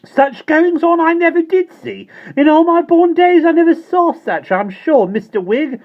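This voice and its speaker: A man doing a shrill, scandalized prim landlady. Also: animated, a little slow, full character voice. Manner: shrill, indignant and fretful. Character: fussy prim spinster landlady, easily scandalized. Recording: dull lo-fi recording.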